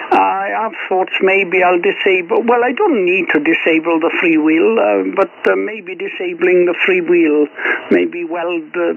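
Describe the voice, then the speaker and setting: A man chatting casually as an amateur radio operator over shortwave, rambling along in a hesitant, understated way. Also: sits high. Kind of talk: casual radio chat between hobbyists. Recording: tinny, phone-like shortwave radio, clear static.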